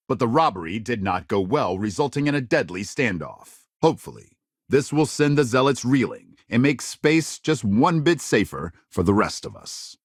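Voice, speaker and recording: An actor playing a polished, earnest news reporter in a sci-fi video game, reading scripted bulletins in a measured, emphatic delivery. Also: male voice, lowish voice, no echo, clean studio sound.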